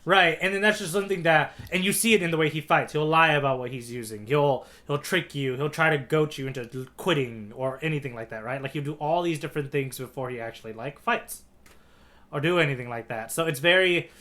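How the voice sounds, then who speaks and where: A man's voice: on the high side, fairly quick, pushed and bright. A man co-hosting a podcast in casual discussion, often checking in with a questioning "right?" as he makes his points. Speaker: podcast co-host.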